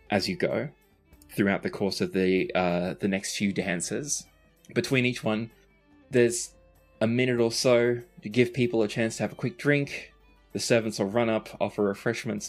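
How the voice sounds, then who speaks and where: A man narrating a tabletop roleplaying game as game master, improvising scenes in a conversational way. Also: mid-range voice.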